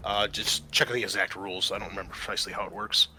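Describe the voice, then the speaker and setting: A man's voice: fairly low pitch, fairly even intonation, fast, pushed and bright, husky, rough recording. A man acting as game master, narrating a tabletop game and explaining things conversationally.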